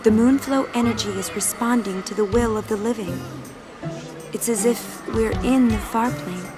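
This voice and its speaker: A gentle young summoner played by an actress speaks in a higher, measured voice. She is formal and solemn with quiet firmness, in fantasy game drama style over clear music. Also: lightly acted. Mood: thoughtful. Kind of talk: video game audio drama acting.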